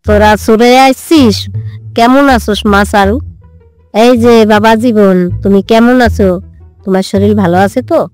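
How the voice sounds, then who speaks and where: A woman's voice: mid-range voice, moderate pace, a little mellow, no echo, lightly acted. A woman voicing an older village mother-in-law in a comedy cartoon, doting yet nagging, with music behind. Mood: affectionate. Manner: nagging, coaxing.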